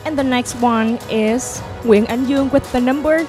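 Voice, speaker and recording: A woman's voice: a little high, normal speed, music in the background. A woman hosting a pageant event in English, announcing names and rules in a scripted way. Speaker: pageant host.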